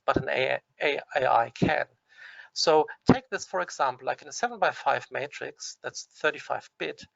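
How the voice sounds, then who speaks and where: A man with a German accent explains things in a webinar, his voice a little raised and gravelly with fry. He restarts often and fills pauses as he goes. The sound is dull and dry, like a computer mic on a call. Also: on the high side, fairly bright tone, no echo. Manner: hesitant, frequent restarts and fillers. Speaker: webinar presenter.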